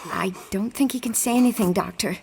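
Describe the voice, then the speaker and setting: A woman playing a horrified, compassionate young companion in an audio drama, breathy and dismayed, with faint noise in the recording. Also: mid-range voice, brisk, close to an everyday voice.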